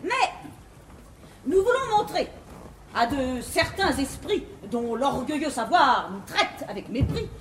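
Imperious, high-pitched, swooping pedantic matriarch. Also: female voice, sing-song, slow, exaggerated voice. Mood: lofty pride. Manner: grand, declamatory, gushing. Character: imperious, pedantic bourgeois matriarch enamoured of learning. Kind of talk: classical French verse comedy on stage. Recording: echoey 1950s theatre recording, faint noise.

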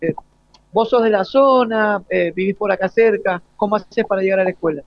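A man with an Argentine accent co-hosts live radio, chatting casually and haltingly. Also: high-pitched, no echo. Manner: halting, rephrasing. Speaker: radio co-host. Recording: dull lo-fi radio broadcast link.

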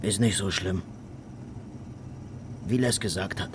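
An actor playing a stoic young country man in a radio drama, terse and deadpan. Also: sits low, slow, background noise. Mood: stoic, indifferent. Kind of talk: naturalistic radio drama acting.

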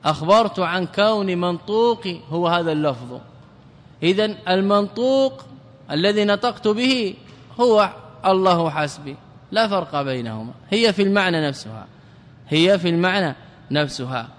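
A man's voice: high-pitched, lively intonation. Man teaching grammar in an echoey hall. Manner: questions the students and answers himself, chants verse lines slowly. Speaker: religious scholar teaching grammar. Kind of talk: classroom lesson on Arabic grammar.

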